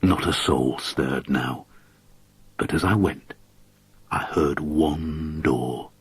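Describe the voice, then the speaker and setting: A man narrates a haunting tale in a measured, dramatic reading that sinks to a hush at the grim parts. His voice sits very deep and carries a husky, breathy grain. The recording is dry and close, with no room around it. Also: no echo. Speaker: audiobook narrator. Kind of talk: scripted narration of a haunting story.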